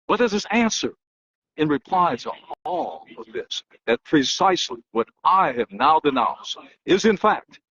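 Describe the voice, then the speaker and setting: Male orator, raised pitch with wide swings, husky edge, emphatic dramatic delivery, slightly dull recording. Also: big pitch swings, pushed and bright. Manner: emphatic, declamatory, with dramatic pauses and repetition. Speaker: political analyst and host. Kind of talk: dramatic speech reading and oratory. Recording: dull, lo-fi muffled sound.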